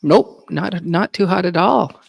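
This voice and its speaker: A woman's voice: lowish voice, unhurried, vocal fry, no room sound. Chatty woman livestream host, dry sound. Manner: chatty, laughs often, many fillers and restarts. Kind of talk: casual livestream chat.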